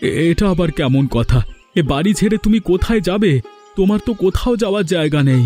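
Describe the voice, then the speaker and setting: A man's voice: high-pitched, expressive, fast, a little mellow. A man voicing a kind, worried husband and protective brother in a cartoon, earnest and troubled. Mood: reassuring, concerned. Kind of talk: cartoon voice acting. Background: faint music.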